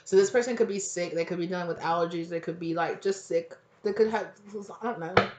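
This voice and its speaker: A woman giving a casual tarot reading, hoarse and close to losing her voice, rambling in slang and acting out little quoted exchanges. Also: lowish voice, a little fast, a little mellow. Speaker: tarot reader. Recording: echoey, dull lo-fi room recording.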